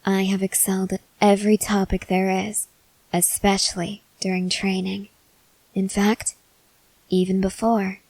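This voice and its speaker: A woman playing a stoic, confident young woman soldier in an ASMR roleplay, speaking in a measured, serious, guarded way with hesitant pauses. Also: mid-range voice, little pitch movement, a little mellow, dry recording, rough recording. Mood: cool, confident. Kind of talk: ASMR roleplay, acted scene to a listener.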